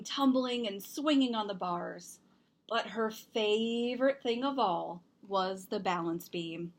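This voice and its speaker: A woman reading a children's picture book aloud, expressively and deliberately, with emphasized words. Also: mid-range voice. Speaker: storybook reader and host.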